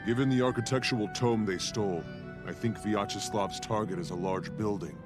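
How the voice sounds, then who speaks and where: A man's voice: fairly low pitch, a little fast, over music, natural voice. An actor, a man, playing an urgent, determined fantasy hero in a video game, earnest and direct. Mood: concerned.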